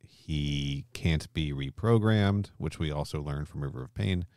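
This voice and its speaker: Very deep-voiced man chatting on a podcast. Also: unhurried, a little mellow, no room sound, clean studio sound. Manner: colloquial, mildly sweary, often seeking agreement. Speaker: podcast host. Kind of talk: casual chat, recapping a story.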